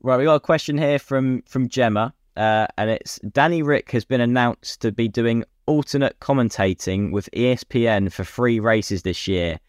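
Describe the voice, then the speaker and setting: A man's voice: medium pitch, normal speed, few pauses, dry recording, studio quality. A man co-hosting a podcast, chatting casually and matily about sport and offering his opinions. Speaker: podcast co-host.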